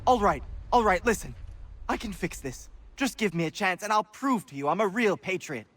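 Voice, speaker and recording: An actor playing a slippery captured turncoat who pleads and wheedles informally in a video game. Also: male voice, high voice, expressive, moderate pace, fairly bright tone, soft background music. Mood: pleading. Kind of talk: video game drama acting.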